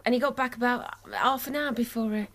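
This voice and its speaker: An actress playing a polite, hesitant young housemaid in a British radio drama. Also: female voice, on the high side, steady tone, fairly quick, fairly bright tone, close to an everyday voice. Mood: timid, hesitant. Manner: hesitant, deferential.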